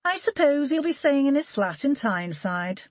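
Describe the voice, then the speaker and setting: A woman's voice: high voice, very animated, normal speed, no echo, voice pushed up. A woman voicing a prim, nosy neighbour with a posh Liverpool accent.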